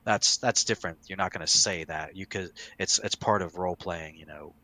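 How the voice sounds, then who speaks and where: A man's voice: low voice, a little fast, sharp tone. A man hosting a casual podcast discussion, explaining his points emphatically with repeated phrasing.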